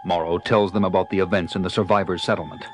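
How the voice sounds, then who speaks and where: A man's voice: low voice, steady tone, quick pace. A man narrating a scripted audio drama in a storytelling style. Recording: loud music under the voice.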